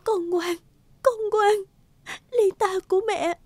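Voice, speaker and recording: A woman playing a gentle, devoted witch mother in a cartoon, emotional and pleading. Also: very high voice, slow, full character voice. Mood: tender. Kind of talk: cartoon dubbing.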